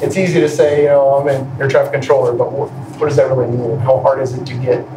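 A man's voice: mid-range voice, flat delivery, quick pace. A middle-aged man, a military leader, talking conversationally and encouragingly on a panel. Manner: appreciative, encouraging. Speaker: senior Air Force leader on a town hall panel. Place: large indoor room, seated on a stage. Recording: roomy echo, distant mic, noisy room.

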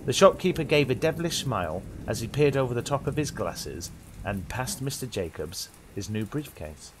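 A young British man hosting and narrating horror in a theatrical old-radio style, with deliberate pauses. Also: mid-range voice, animated, noisy background. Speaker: host and narrator.

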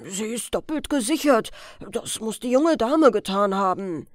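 Woman as young policeman, commanding, flustered, urgent, crime audiobook, lively intonation. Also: fairly high pitch, a little slow, no room sound, clean studio sound. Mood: tense. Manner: urgent, barked orders.

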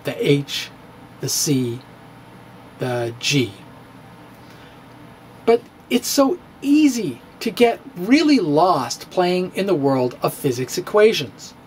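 A man's voice: on the high side, big pitch swings, takes it slowly, long pauses, a little mellow, a little hiss or hum. A middle-aged man giving a playful, theatrical reading of his own physics blog, recorded at home on a close studio microphone. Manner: playful, theatrical, with chuckles and asides. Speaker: physics blogger. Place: home study with bookshelves, indoors. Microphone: studio microphone on stand, close.

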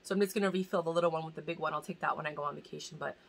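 A woman's voice: low-pitched, fairly even intonation, very fast, vocal fry. A woman in her forties chatting to camera from a cluttered home room, rambling and gushing about giveaway items while in pain. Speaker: fashion vlogger hosting a giveaway. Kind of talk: casual chat to camera. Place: small home room crowded with bags and boxes, indoors. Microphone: camera at desk distance.

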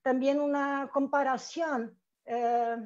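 A middle-aged woman with a Brazilian accent, a native Portuguese speaker, presenting academically and haltingly on a dull-sounding video call. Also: high-pitched, steady tone, a little mellow. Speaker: academic panelist, researcher. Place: room with bookshelves indoors. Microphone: earphone mic on video call.

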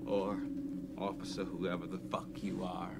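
A man's voice: low-pitched, completely flat delivery, normal speed, vocal fry. Male actor as a taunting inmate, profane and rambling, noisy track. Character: streetwise male prison inmate, sly and taunting. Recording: noisy background.

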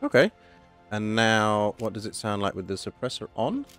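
Hoarse man chatting over gameplay. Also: fairly low pitch, sing-song, moderate pace, a bit bright, faint music behind. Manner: chatty, thinking aloud, self-correcting. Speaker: gaming channel host. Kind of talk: casual gameplay commentary.

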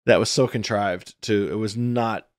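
A man's voice: lowish voice, steady tone, unhurried, no echo. Man, forties, commentary host. Conversational and critical, emphatic delivery, dry close-miked home studio sound. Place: small indoor home studio. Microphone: close microphone on a stand, headset worn.

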